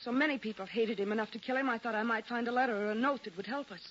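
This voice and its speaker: A woman's voice: a little high, racing, a bit bright, natural voice. An actress playing an earnest, anxious, imploring married woman in a 1940s radio drama. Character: anxious, pleading wife standing by her lover. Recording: muffled, hissy 1940s radio recording.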